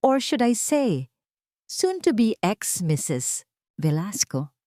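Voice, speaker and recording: A gloating mistress voiced by a woman narrator in an audio melodrama. She taunts with venom and smug relish, swooping in pitch and stretching out her mockery. The recording is close and dry. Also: sing-song, takes it slowly, mellow, no room sound. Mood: venomous, smug.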